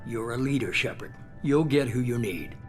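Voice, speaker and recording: A man's voice: medium pitch, lively intonation, close to an everyday voice. A man playing a calculating, commanding shadowy leader. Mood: cool, commanding. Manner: measured, smooth, authoritative. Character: calculating middle-aged male shadowy organization leader, cool and commanding. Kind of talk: video game dialogue. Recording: game music behind.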